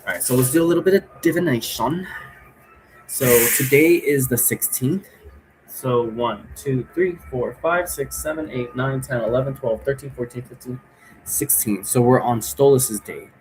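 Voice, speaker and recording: A man's voice: mid-range voice, moderate pace, darkish tone, soft background music, poor audio. A man giving a casual, rambling tutorial to viewers in an informal, repetitive way, often checking in as he goes. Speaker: host giving an occult divination tutorial.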